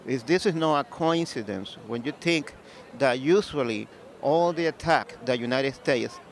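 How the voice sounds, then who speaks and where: A man's voice: medium pitch, gravelly, slight background noise. Venezuelan-accented man at a street rally. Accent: Venezuelan Spanish. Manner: earnest, halting, with many fillers. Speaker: activist interviewee. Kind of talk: street interview. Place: outdoors at a city street rally.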